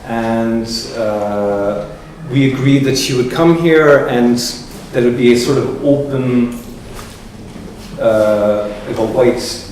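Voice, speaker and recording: A man hosting a gallery talk as curator, speaking in a measured, reflective way as he searches for words. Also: medium pitch, a little mellow. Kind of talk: public talk introducing an artist. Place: large echoey gallery room. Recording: echoey gallery room from far off with ambient noise.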